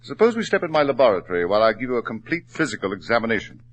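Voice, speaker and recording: An actor playing a grave, outwardly sympathetic doctor in 1940s radio drama style, speaking in a measured, solemn, persuasive way. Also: male voice, mid-range voice, sing-song, close to an everyday voice.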